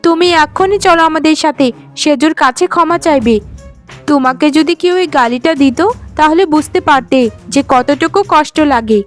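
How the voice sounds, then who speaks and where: A woman's voice: high voice, moderate pace, natural voice. A woman voicing a protective, righteous elder sister-in-law in a cartoon, speaking in a firm, reproachful, concerned way. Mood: stern, reproachful. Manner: coaxing yet firm, reproachful. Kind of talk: melodramatic cartoon voice acting. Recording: music behind the voice. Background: music.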